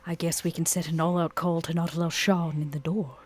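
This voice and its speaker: A player voicing a scheming, composed vampire in a tabletop roleplay, speaking in a measured, articulate and wry way. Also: medium pitch, flat delivery, lightly acted. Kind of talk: actual play roleplay dialogue.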